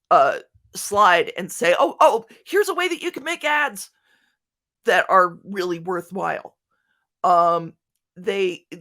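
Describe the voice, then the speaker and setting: A woman with a Philadelphia American accent answering questions as a guest speaker, animated and halting, often restarting her sentences. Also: mid-range voice, creaky. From Philadelphia, United States. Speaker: guest speaker, podcast writer. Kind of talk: conference question-and-answer talk.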